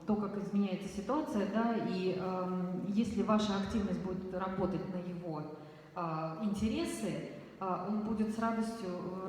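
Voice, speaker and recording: A woman with a mellow voice interprets and explains, hesitating often and filling her pauses. She is heard in a large hall with a long, roomy echo and faint hiss. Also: mid-range voice. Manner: hesitant, many fillers. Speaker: interpreter and organizer. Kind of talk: consecutive interpreting and commentary.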